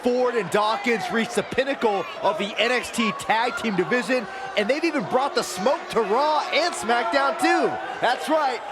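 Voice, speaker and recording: Excitable high-voiced wrestling commentator. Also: male voice, moderate pace. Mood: hyped. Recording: loud arena crowd noise behind.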